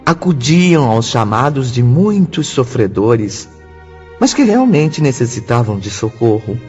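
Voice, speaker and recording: A man's voice: mid-range voice, big pitch swings, measured pace, darkish tone. A man with a Brazilian accent giving solemn scripted narration as a radio drama narrator. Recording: reverberant, dull lo-fi, music bed.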